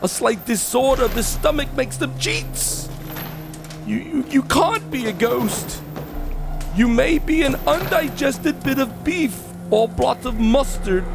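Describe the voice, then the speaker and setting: A man acting in a radio play as a miserly old businessman, gruff and easily frightened, with a stammering, blustering, theatrical manner. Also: very high-pitched, animated, slow, over music, exaggerated voice. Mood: defiant skepticism.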